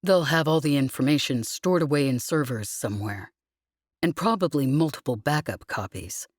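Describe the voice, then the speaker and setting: A woman voicing a calm, grave, scholarly male ex-convict with a distant, deliberate way of talking, in an audiobook. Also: low voice, dry recording, clean studio sound.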